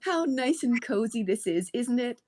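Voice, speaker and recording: A woman voicing a doting, eerily cozy middle-aged landlady in a story, coaxing and gushing with drawn-out words. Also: a little high, animated, darkish tone.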